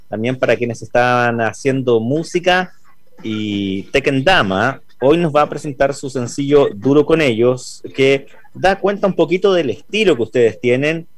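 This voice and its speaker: A man hosts an afternoon radio interview in a mid-pitched voice. He speaks into a dry, close microphone and often pauses on "eh" while he looks for the next question. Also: no room sound. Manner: hesitant with frequent "eh" fillers. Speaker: radio host.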